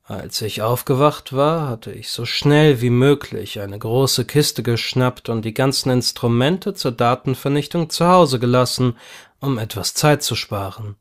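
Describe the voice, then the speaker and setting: Male horror audiobook narrator. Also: mid-range voice. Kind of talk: scripted first-person horror audiobook narration.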